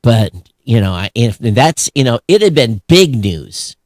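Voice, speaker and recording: A man in a close, dry recording talks casually as a podcast co-host. His pitch sits mid-range for a man. He is opinionated and sardonic, animated when he gripes, and often drops a phrase halfway to start again. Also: unhurried, no room sound. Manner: animated, sarcastic griping with mock quoted voices. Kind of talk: casual opinionated talk show chat.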